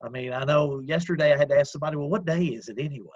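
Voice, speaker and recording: A man with a loud voice, an executive director talking earnestly in a casual interview, with many fillers and restarts. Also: on the high side, rapid-fire, no room sound, dull audio. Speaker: community action executive director. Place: at home, remote call.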